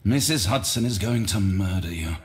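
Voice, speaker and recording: An actor playing an exasperated, fussing Victorian gentleman companion with an English accent and an incredulous manner in a video game. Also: fairly low pitch, animated, moderate pace, close to an everyday voice. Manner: incredulous, questioning. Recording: loud background noise with clicks.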